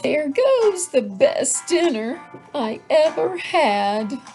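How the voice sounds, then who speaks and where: A woman's voice: high voice, very animated, extremely slow, a little mellow, faint music behind, pitched above the performer's own voice, full character voice. A woman voicing a kind, sorrowful woman from a storybook in a plaintive, apologetic manner. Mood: sad. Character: kind, sorrowful woman who shares her homemade stew. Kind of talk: storybook character voice.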